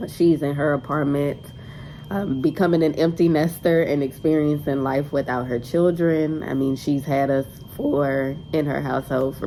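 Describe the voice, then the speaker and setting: Congested woman, low voice, chatty vlog. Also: mellow, slight background noise, poor audio. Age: 20s. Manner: chatty, rambling, says y'all. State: congested with a cold. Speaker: vlogger. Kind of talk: casual vlog talk to camera. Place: in a car on the way to errands.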